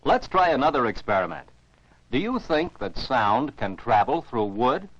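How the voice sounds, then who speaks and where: A man's voice: mid-range voice, big pitch swings, moderate pace, fairly bright tone. An American man narrates scripted science for children as an educational film narrator, in a didactic, carefully enunciated way. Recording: dull, dry 1949 film soundtrack.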